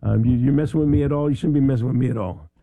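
A hoarse, raspy-voiced man hosting a casual radio talk show, chatty and jokey as he tells stories. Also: mid-range voice, very fast, no echo, narrow, tinny audio. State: hoarse, losing his voice. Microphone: studio microphone.

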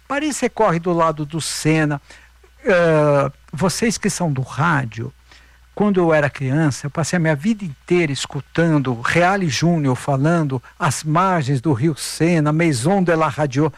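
A man's voice: a little high, unhurried, no echo. A middle-aged man with a Brazilian accent telling anecdotes in a casual interview, emphatic and repeating himself. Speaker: interview guest, veteran marathon runner.

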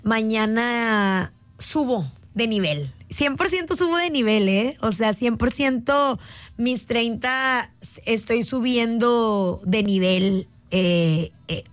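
A woman's voice: on the high side, expressive, slow, dry recording. A Mexican woman in her late twenties, a radio host, talking heartfelt and casual to her listeners and stretching key words out. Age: late 20s. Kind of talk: reflective casual monologue to listeners. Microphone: phone app mic.

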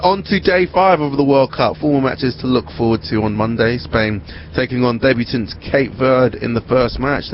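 Male broadcast presenter for sports news, medium pitch, on a somewhat muffled recording. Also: slight background noise.

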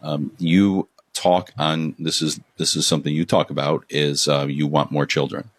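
A male podcast host with a very deep voice talks casually, stopping and restarting his sentences often. He sounds dry and close to the mic. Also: flat delivery, dry recording. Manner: halting, many restarts and fillers. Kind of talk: casual interview chat.

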